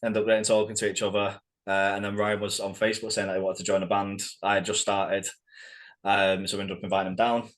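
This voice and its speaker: A man's voice: fairly low pitch, little pitch movement, quick pace. A man who plays guitar in a band, chatting casually in an interview in a blokey, slangy way.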